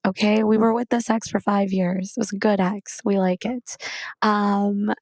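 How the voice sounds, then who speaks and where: A woman's voice: medium pitch, dry recording. Chatty young woman podcast host. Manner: chatty, animated, lots of "like". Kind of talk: casual storytelling and interview chat.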